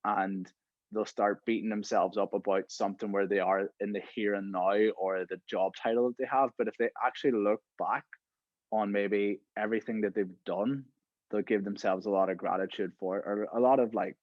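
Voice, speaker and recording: A man's voice: lowish voice, fairly even intonation, moderate pace, no echo, lo-fi sound. A man in his thirties hosting a podcast in casual conversation, filling his talk with "right?" and "you know". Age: 30s. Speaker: podcast host.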